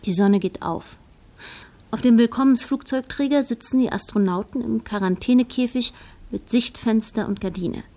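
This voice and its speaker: A woman's voice: on the high side, normal speed, dark tone, natural voice. A woman playing a composed chronicler, narrating a radio drama in a poetic, literary style. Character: composed female chronicler of a space landing.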